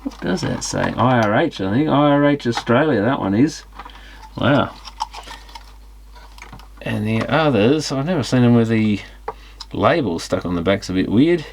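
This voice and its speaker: A man's voice: medium pitch, animated, a little slow, creaky, pauses often, a little hiss or hum. A man giving casual, unscripted teardown commentary on electronics, musing and thinking aloud as he examines things. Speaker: electronics repair hobbyist presenting a teardown.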